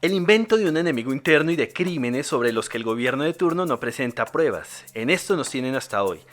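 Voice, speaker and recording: A man's voice: mid-range voice, lively intonation, a little fast, a bit bright. A man reading scripted documentary narration as the narrator.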